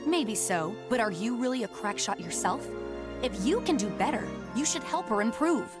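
Game role: stern young female soldier, proud and by-the-book, blunt delivery, highish voice woman acts it. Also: expressive, normal speed, over loud music, close to an everyday voice. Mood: scornful, angry. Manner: blunt, clipped, scolding. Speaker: video game character. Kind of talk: English game voice acting.

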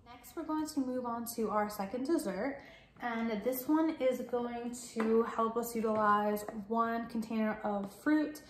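A woman in her thirties chatting upbeat through a step-by-step cooking demo in her home kitchen, talking to a camera set at table distance. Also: a little high. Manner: chatty and upbeat, explaining as she works. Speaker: cooking vlogger. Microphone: fixed camera at table distance. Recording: echoey kitchen with faint noise.

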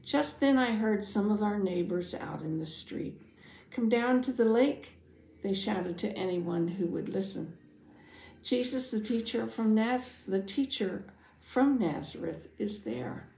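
A woman voicing a devout, anxious father who earnestly tells his Bible story, dramatically, with hushed phrase endings. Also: medium pitch, lively intonation, unhurried, vocal fry. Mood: anxious, urgent. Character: devout anxious father, synagogue leader, telling his testimony. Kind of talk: first-person Bible storytelling for families. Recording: roomy, echoing sound.

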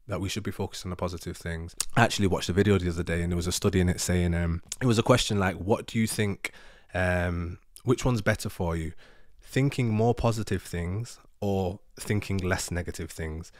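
A man's voice: low-pitched, no echo, studio quality. A man in his thirties, a native Urdu speaker, chatting casually and reflectively to camera in a rambling, self-correcting way. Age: 30s. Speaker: vlogger. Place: living room sofa at home. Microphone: handheld microphone held close.

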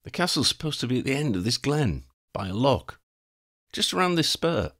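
A man's voice: medium pitch, big pitch swings, moderate pace, a bit bright, pitched above the performer's own voice. A man voicing a stubborn young male wizard hero in an audiobook reading, brash and defiant. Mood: determined.

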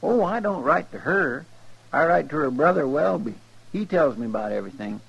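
Raspy, creaky-voiced folksy western sidekick. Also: male voice, fairly high pitch, expressive, normal speed, a bit bright, highs cut off, heavily acted. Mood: folksy, earnest. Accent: rural American. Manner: folksy, deferential. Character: folksy, earnest, talkative male deputy sidekick. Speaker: actor in a radio western. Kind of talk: 1950s radio western acting. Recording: old 1950s radio recording.

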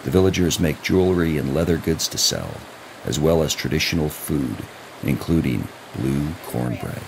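A man reading scripted travel documentary narration in a measured, even way, recorded as a studio voice-over. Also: very deep voice, vocal fry, slight background noise, low-quality recording. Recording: narration over loud music.

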